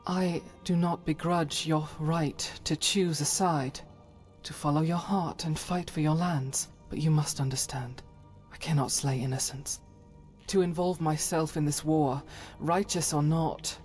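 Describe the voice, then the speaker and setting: A woman's voice: sits low, fairly even intonation, measured pace, a little mellow, faint music behind. A woman playing a devout, solemn holy warrior in a fantasy game, speaking in formal, archaic and earnest phrases. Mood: solemn, regretful. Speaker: voice actor for a game companion. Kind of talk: dramatic scripted fantasy game dialogue.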